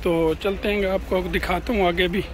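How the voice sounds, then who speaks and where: Man, high voice, chatty market-tour vlogger, phone mic outdoors. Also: fairly even intonation, moderate pace, a little hiss or hum. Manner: chatty, keeps addressing viewers as "janab". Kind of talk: walking market tour vlog. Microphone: phone camera held by the walking speaker.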